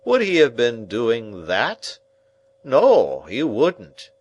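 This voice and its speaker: A man voicing a pompous, blustering middle-aged man who lectures a boy in a loud, drawn-out, self-important way. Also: medium pitch, huge pitch swings, breathy edge, muffled recording, voice pushed up, exaggerated voice. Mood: hectoring.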